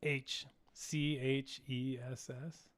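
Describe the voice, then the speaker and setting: A man's voice: mid-range voice, level tone, drawn-out words. A man co-hosting a casual crypto live stream who chimes in with short, easygoing remarks. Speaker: co-host.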